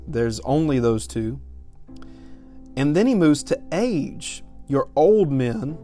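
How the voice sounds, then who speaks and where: A man's voice: mid-range voice, darkish tone. A man in his thirties giving a conversational but emphatic podcast sermon, repeating phrases as he builds his point. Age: 30s. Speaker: preacher and podcast host.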